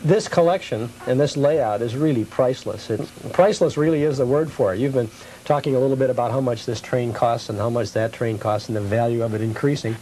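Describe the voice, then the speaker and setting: A man's voice: medium pitch, fairly quick, warm tone, slight background noise, low-quality recording. A man hosting a conversational interview as the show's interviewer. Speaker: TV show host interviewer.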